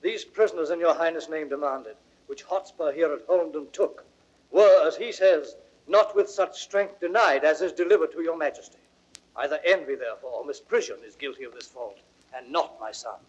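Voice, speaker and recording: A husky, conciliatory old earl. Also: male voice, a little high, moderate pace. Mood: placating. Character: old, conciliatory earl defending his son. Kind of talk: classical Shakespearean radio acting. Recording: dull vintage audio recording.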